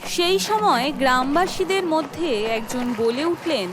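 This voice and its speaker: High-voiced woman narrating slowly. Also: animated, background noise. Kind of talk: scripted storytelling narration for horror cartoon.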